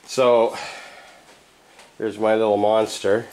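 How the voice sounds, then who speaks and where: A man in his fifties, chatting casually to camera from his apartment, relaxed and rambling with plenty of "uh" fillers. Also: on the low side, slow pace, rough recording. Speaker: vlogger. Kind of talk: casual vlog chat. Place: apartment at home, indoors. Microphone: handheld camera mic, close.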